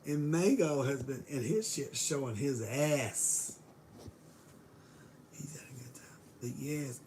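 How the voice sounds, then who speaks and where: A middle-aged woman speaks with a hoarse, gravelly voice that sometimes fades to a whisper. She rambles casually and swears now and then as she talks to live viewers from her bedroom. A faint noise hums behind her. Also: extremely low pitch. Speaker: vlogger greeting live viewers. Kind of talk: rambling casual livestream chat.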